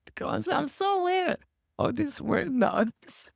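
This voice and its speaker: A non-native English speaker from Serbia with a Serbian accent, chatting casually as a guest in a lively, self-deprecating way. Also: high-pitched, unhurried, creaky, dry recording, clean studio sound. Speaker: operations team member, guest. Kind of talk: casual conversation.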